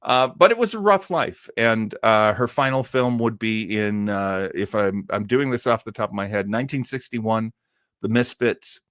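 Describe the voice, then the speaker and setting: A man's voice: lowish voice, moderate pace, a bit bright, smooth, no echo. Chatty, rambling man with a dry, close sound. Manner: chatty, rambling, effusive with emphatic repeats. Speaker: host of a web show on old time radio. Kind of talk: casual livestream chat, answering audience comments.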